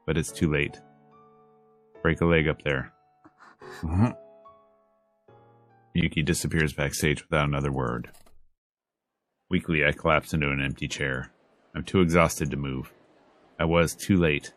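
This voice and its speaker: A man with an extremely deep voice reads a visual novel aloud. His narration is measured and dramatic, with emphasis on the emotional lines. Music plays softly behind him. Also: no room sound. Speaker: let's player reading a visual novel aloud. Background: game music.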